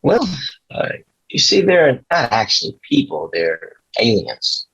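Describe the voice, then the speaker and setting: A man playing an awkward, earnest driver in a screenplay table read, speaking in a halting, gentle, explanatory way. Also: mid-range voice, wildly swooping pitch, vocal fry, slightly rough, full character voice. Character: awkward, earnest male driver Chaz, kindly conspiracy believer. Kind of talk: table read of a film script. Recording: dull, slightly muffled audio.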